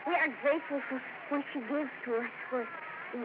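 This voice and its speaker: An actor playing an eager young boy who speaks halting English with a Spanish accent, in an old radio drama. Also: fairly even intonation, narrow, tinny audio. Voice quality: high childlike voice, halting English. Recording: hissy old radio broadcast.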